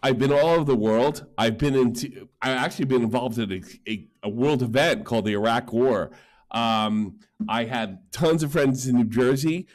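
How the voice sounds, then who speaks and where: A man's voice: mid-range voice, normal speed. A middle-aged man ranting boastfully about his life experience.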